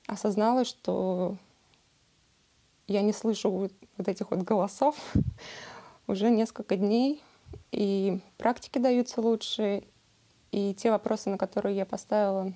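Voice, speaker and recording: Hesitant woman in her thirties, warm voice. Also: mid-range voice. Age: 30s. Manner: hesitant, many filler sounds. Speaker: retreat participant. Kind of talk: personal testimony.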